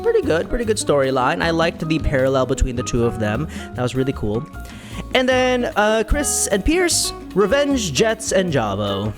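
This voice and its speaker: A game streamer giving casual playthrough commentary in a playful, theatrical way, dropping into sing-song asides. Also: mid-range voice.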